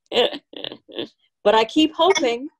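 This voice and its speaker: A woman's voice: fairly high pitch, drawn-out words. A woman chatting casually with a group on a video call. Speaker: club member chatting in meeting.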